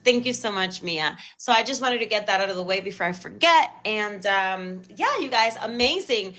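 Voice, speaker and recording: A woman's voice: medium pitch, lively intonation, normal speed, fairly bright tone. A woman in her thirties from Cuba with a Cuban accent, hosting a live stream talk show in an effusive, chatty way. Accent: Cuban Spanish. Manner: effusive and chatty, repeats thanks.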